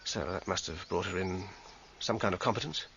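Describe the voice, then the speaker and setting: An actor playing a struggling, articulate British composer in a radio drama, wordy and hesitant, turning agitated under pressure. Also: male voice, low voice, a little fast, creaky, a bit bright, highs cut off, slight background noise. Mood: guarded. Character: struggling hack composer, defensive, articulate, evasive brother.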